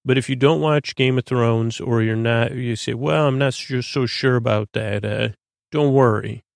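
A man hosting a bedtime podcast in a creaky, lulling voice, droning along in a halting, stammering, meandering monologue meant to help listeners sleep. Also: mid-range voice, steady tone, no echo, studio quality. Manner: halting, stammering, repeats and plays with words. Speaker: podcast host.

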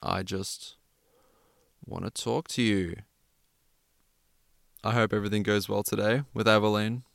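A man's voice: lowish voice, steady tone, unhurried, creaky, no echo, studio quality. A man playing an awkward, mild-mannered young gamer in an audio drama, speaking casually and hesitantly. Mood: nervous.

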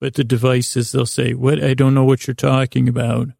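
A man hosting a sleep podcast, meandering through a halting, self-correcting bedtime monologue in a creaky voice. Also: medium pitch, level tone, darkish tone, no echo, clean studio sound.

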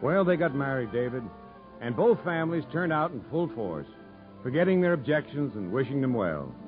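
Actor as a kindly, animated storytelling man. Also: mid-range voice, moderate pace, over music, lightly acted. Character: kindly, reflective man telling a boy family history. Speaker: narrator. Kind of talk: scripted storytelling narration in 1950s American radio drama. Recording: dull 1950s radio broadcast recording.

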